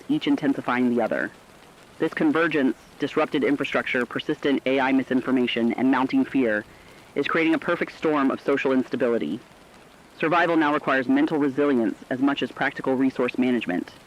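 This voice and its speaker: A man acting as a sober correspondent in a radio drama, mid-pitched and heard through muffled, lo-fi sound. He reads a crisis report formally and steadily, with serious weight. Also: close to an everyday voice. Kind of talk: scripted emergency news report.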